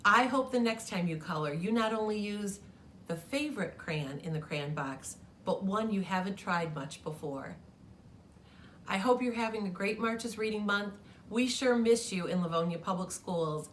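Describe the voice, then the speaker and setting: A woman's voice: fairly low pitch, moderate pace, recorded from a distance, slight background noise. A woman who works at a school, reading a children's picture book aloud. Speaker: story reader, school staff member.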